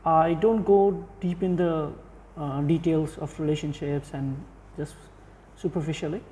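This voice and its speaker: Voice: medium pitch, steady tone, measured pace, mellow, long reverb tail, poor audio. An interviewer hosting a podcast, asking questions in a conversational way and affirming often with short okays.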